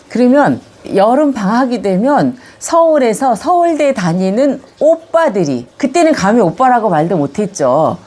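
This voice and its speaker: An older Korean woman with a touch of Chungcheong accent recalls her life in an interview. Her voice is mellow and soft at the edges, and her pitch rises and falls freely as she talks. She speaks in a calm, reminiscing way. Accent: Chungcheong-tinged Korean. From Korea, Yongin area of Gyeonggi. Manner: calm, reminiscing, gently animated when recalling childhood. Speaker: elderly interviewee recording a video autobiography. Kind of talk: reflective life-story interview.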